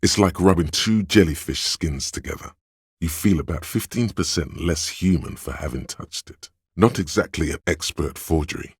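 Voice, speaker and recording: A solemn, dryly wry narrator inside a detective's head in a video game. The voice sits extremely low and is thick with husky gravel. It moves in measured, literary phrases with deliberate pauses, recorded very dry and close. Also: male voice, no echo, exaggerated voice. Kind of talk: scripted game narration, second person.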